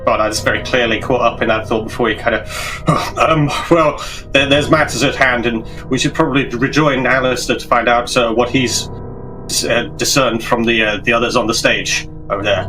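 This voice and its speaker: A man's voice: medium pitch, fairly even intonation, normal speed, poor audio. Male game player narrating over music. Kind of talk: casual third-person roleplay narration.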